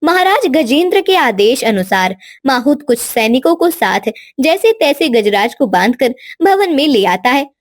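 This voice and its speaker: A woman's voice: sits high, sing-song, unhurried, few pauses, dry recording, studio quality. A woman giving scripted narration for a children's moral story.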